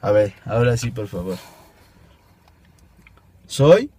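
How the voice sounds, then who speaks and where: A young man with a Mexican accent improvising a phone prank in a halting, hesitant way, recorded inside a small car. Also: lowish voice, big pitch swings, dark tone, slight background noise. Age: young adult. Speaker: prank caller, video host. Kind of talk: improvised prank phone call and casual chat. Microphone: camera at arm's length.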